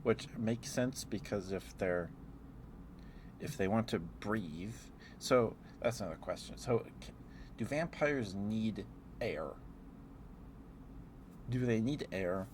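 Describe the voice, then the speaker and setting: Male ASMR streamer, lower register, very unhurried, playful rambling delivery, some background ambience. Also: darkish tone, slight background noise. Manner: playful, musing aloud with trailing thoughts. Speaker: ASMR livestreamer. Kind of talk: ASMR, casual rambling chat.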